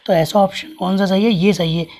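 A man teaching a maths lesson with a hoarse voice that is giving out. He works through each step patiently and keeps asking the class what comes next. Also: high voice, fairly even intonation, brisk, a little mellow. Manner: patient, explains with repeated rhetorical questions. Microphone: hands writing on paper, camera over the page.

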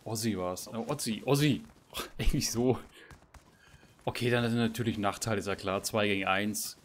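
A man's voice: on the low side, normal speed, slight background noise. A man chatting casually while playing a survival game, teasing himself and his teammate with frequent tag questions. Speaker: gamer streamer. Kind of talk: casual gameplay chat.

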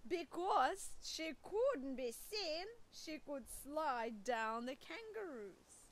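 A woman reading a picture book aloud to preschoolers, deliberate and expressive, as if speaking to young listeners. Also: high voice. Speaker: children's storyteller.

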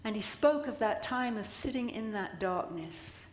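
A woman's voice: fairly high pitch, animated, measured pace. Older woman preaching in a reverberant church. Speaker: priest preaching. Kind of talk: sermon. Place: large church, at the pulpit. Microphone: gooseneck lectern microphones. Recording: echoey church with long reverb.

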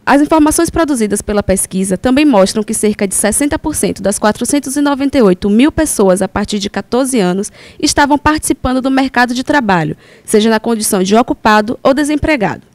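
A woman reporter reading a scripted news voice-over in a steady, clear way. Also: fairly high pitch, fast talker, few pauses, no echo.

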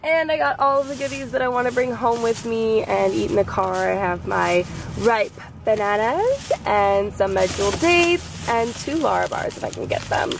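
Raspy, hoarse young woman vlogging. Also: a little high, normal speed, hardly any pauses, background noise. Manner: chatty and giggly, often laughing. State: hoarse from a cold. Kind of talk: casual vlog chat. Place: bedroom, car and backyard outdoors. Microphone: handheld camera at arm's length.

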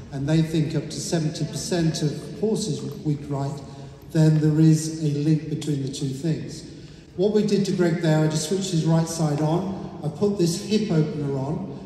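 A man's voice: on the high side, mellow. A man giving an explanatory talk at a convention, citing figures, in a large indoor arena. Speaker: convention speaker on rider fitness. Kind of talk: lecture to an audience. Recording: roomy hall reverb.

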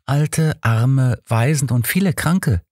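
A man's voice: medium pitch, animated, takes it slowly, darkish tone, no room sound, studio quality, pitched above the performer's own voice. A man voicing a meek, obedient young workhouse girl in an audiobook, giving timid, dutiful answers.

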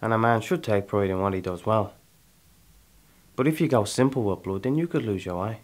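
A man with an Irish accent acting a dramatic monologue as a proud, brooding, restless settler who has killed, speaking deliberately with pauses. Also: lowish voice, lively intonation. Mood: brooding, menacing.

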